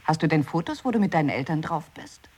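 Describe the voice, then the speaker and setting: A doubtful, low-voiced questioner. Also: female voice, animated, fast, darkish tone, breathy edge. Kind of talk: experimental radio play dialogue.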